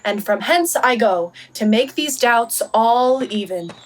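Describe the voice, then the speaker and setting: A young actress playing a quick-witted heroine in boy's disguise, with a fairly high voice. She delivers Shakespearean radio drama lines in a playful, articulate way. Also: lively intonation, distant mic. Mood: assured, commanding. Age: young adult. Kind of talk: Shakespearean radio play acting.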